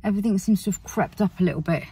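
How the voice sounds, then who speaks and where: A woman's voice: medium pitch, rapid-fire, mellow, breathy edge, dry recording, far from the mic. A woman in her forties with a British accent chats casually to camera from a parked car, rambling and searching for words. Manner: rambling, word-searching, self-correcting. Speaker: family vlogger.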